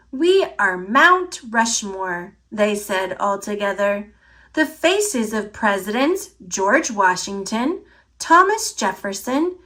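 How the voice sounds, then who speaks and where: A woman reading a picture book aloud for children, with deliberate, clearly phrased, expressive narration. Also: a little high.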